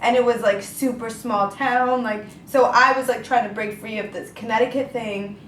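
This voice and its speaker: Young woman, from Brooklyn, chatty, lots of "like", fairly high voice, echoey room. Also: fairly even intonation, normal speed, fairly bright tone, recorded from a distance, a little hiss or hum. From Brooklyn, New York, USA. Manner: chatty, fills every thought with "like". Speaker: singer-songwriter guest. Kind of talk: casual interview chat.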